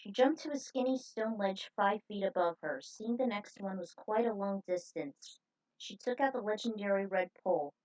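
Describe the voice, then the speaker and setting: A woman's voice: mid-range voice, moderate pace, darkish tone. A woman casually narrating fan fiction aloud as a host, in an informal, chatty way, on a muffled recording. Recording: dull lo-fi recording.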